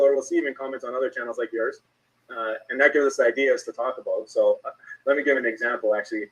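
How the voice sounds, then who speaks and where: Husky, rapid Canadian man, off the mic. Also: mid-range voice, a bit bright, highs cut off. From Toronto area, Canada. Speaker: YouTuber guest. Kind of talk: casual interview chat. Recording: distant from the microphone.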